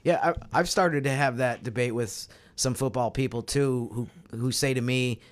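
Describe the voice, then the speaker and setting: A man on a sports podcast panel, explaining his views in a measured, casual analytical way. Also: mid-range voice.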